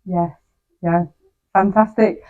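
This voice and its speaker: A woman's voice: on the low side, steady tone, slow pace, phone-quality sound. A woman interviewing in an encouraging, conversational way. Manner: encouraging, affirming.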